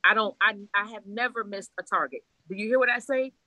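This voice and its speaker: A woman's voice: mid-range voice, a little fast, pushed and bright, no room sound, low-quality recording. A woman from Haiti, a priestess, talking bluntly and animatedly in a casual conversation, laughing often and swearing freely. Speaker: Ifa priestess guest.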